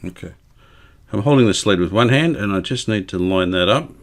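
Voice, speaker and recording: A man with a fairly low voice talks through a hands-on repair job. He is casual and unscripted, thinking aloud as he works his way through each step. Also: lively intonation, brisk. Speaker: host of radio repair video.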